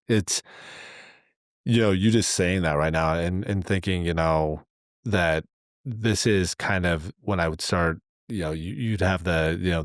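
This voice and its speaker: Deep-voiced middle-aged man chatting on a podcast. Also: no echo, studio quality. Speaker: podcast co-host. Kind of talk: casual conversational podcast talk.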